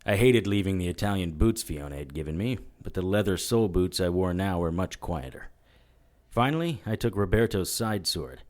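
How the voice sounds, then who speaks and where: A man's voice: low-pitched, expressive, moderate pace, darkish tone. A man playing a world-weary, wry investigator, narrating a noir audio drama in the first person. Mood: dry, resolute. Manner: dry, matter-of-fact, wry.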